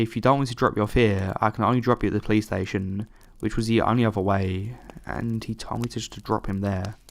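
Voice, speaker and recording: A man's voice: on the low side, no room sound. A man reading a first-person story aloud in a plain, matter-of-fact way. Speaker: story narrator.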